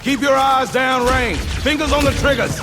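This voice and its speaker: A man voicing a gruff, swaggering marine drill sergeant in a game cutscene, barking orders with bravado. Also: very high voice, moderate pace, long reverb tail, over music. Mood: steadying. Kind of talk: video game cutscene acting.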